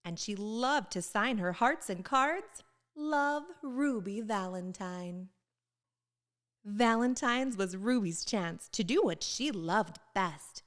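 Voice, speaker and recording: A woman reading a children's storybook aloud with expressive, sing-song emphasis. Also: mid-range voice, slow pace, few pauses. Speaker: children's book reader.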